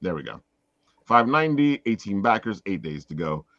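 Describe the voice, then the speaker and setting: A man's voice: fairly low pitch, big pitch swings, normal speed, pushed and bright, no echo. A man hosting a casual livestream chat in a rambling, informal way, prone to giggling, over slightly dull lo-fi call audio. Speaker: host. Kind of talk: casual livestream chat promoting crowdfunding projects.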